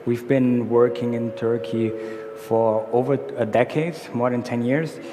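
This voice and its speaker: A man's voice: medium pitch, steady tone, measured pace. A man from Brazil gives a business talk in a large conference hall. He explains a slide step by step and often restarts his phrases. The sound carries a long, roomy reverb with faint hum behind it. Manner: self-correcting, explaining slides. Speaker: conference speaker. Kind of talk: conference presentation. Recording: echoey hall with faint room noise.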